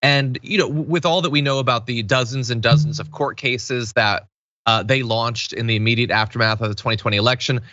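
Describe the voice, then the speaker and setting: A man's voice: mid-range voice, steady tone, brisk, bright, forceful tone, no room sound, dull audio. A man hosting a political talk show, giving informal, sardonic commentary in a conversational way.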